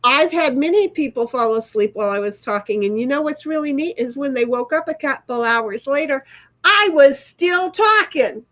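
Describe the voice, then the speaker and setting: A middle-aged woman hosting a relaxed livestream, talking in a loose, rambling way with offhand swearing. Her voice sits a little on the high side. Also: normal speed. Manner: rambling, chatty, swears casually. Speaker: livestream host. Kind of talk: casual livestream chat, reading chat aloud.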